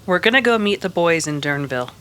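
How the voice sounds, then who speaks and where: A woman playing a steady, practical frontier woman, firm and matter-of-fact, in a western radio drama. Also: lowish voice, fast. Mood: firm, urgent.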